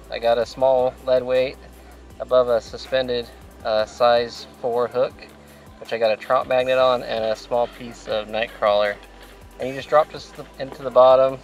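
A man in his thirties with a Pacific Northwest American accent, hosting a fishing video from a kayak and explaining techniques in a relaxed way with plenty of fillers. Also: medium pitch, rough recording. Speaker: fishing show host. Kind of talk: casual instructional commentary. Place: outdoors on a kayak on a lake. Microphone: action camera mounted on the kayak.

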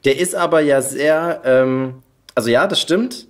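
A man's voice: a little high, measured pace. A man hosting a podcast, chatting casually with his guest and asking questions. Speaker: podcast host, interviewer. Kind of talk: casual chat.

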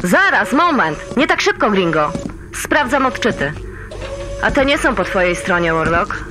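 A woman playing a brisk, teasing mission operator in a sci-fi audio drama, with a familiar, commanding manner. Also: medium pitch, sing-song, over music, natural voice.

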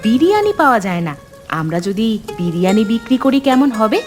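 A woman's voice: a little high, very animated, fast talker. A woman voicing a practical, supportive eatery keeper's wife in a cartoon story, with a coaxing manner. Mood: encouraging. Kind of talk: Bengali cartoon story voice acting. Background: music.

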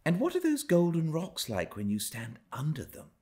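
A man's voice: a little high, wildly swooping pitch, normal speed, mellow, voice pushed up. A man voicing an eager, curious, spirited young girl in a classic storybook reading, pleading and wondering.

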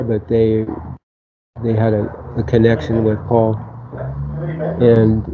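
A man in his seventies whose first language is Latvian, telling his life story slowly, pausing often with fillers. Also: mid-range voice, dull audio. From United States. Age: 70s. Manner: slow, halting, many pauses and fillers. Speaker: guest. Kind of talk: casual interview talk.

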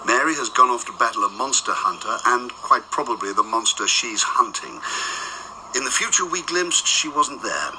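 A man playing a dry, measured department chief. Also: mid-range voice, expressive, noisy background, close to an everyday voice. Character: precise, urbane older department chief.

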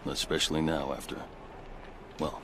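Deep-voiced diplomatic captain for a game cutscene, formal, measured. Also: male voice, little pitch movement, a bit bright, a little hiss or hum. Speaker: game character. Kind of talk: video game cutscene dialogue.

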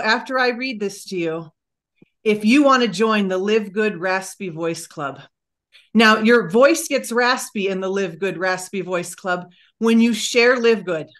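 A woman in her forties, a guest speaker, telling an excited, breathless story on a video call from home. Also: medium pitch. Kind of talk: motivational talk on a video call. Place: home room with bookshelves. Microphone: webcam on a video call.

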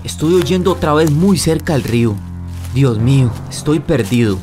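A man's voice: on the high side, expressive, moderate pace, over music, close to an everyday voice. An actor playing an earnest, worried young man in love in a radio soap opera, with a Costa Rican accent. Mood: lost, anxious.

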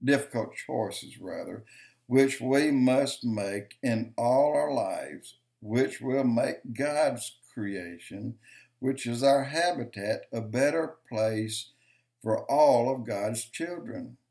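An older man giving slow, emphatic preaching-style commentary to a webcam in his home office, counting off points and repeating lines for stress. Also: medium pitch, lively intonation, vocal fry, darkish tone. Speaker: video commentator.

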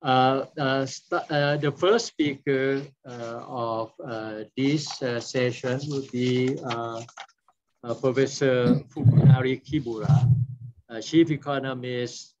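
An older man in his sixties introduces a conference panel as its chair. His voice sits at a medium pitch for a man, and he speaks haltingly, feeling for the next word. The sound is slightly dull and lo-fi, like a computer mic on a video call. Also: steady tone, a little mellow, a little hiss or hum. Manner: halting, searching for words. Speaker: session chair. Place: small office, indoors.

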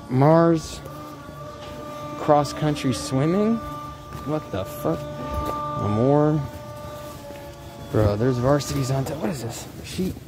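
A man's voice: medium pitch, poor audio. A man giving casual, off-the-cuff vlog commentary in short, slangy phrases as he sorts through things. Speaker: vlogger, vintage clothing reseller. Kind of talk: casual off-the-cuff commentary while sorting clothes. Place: large indoor thrift warehouse. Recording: clear background noise of a warehouse.

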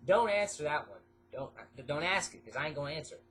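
A man's voice: a little high, big pitch swings, fairly quick, fairly bright tone. Teenage boy, stuffy nose, casual rambling vlog, lots of fillers, bedroom webcam, faint hiss. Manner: rambling, filler-heavy, jumps between topics. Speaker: vlogger. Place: small bedroom indoors. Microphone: webcam at desk.

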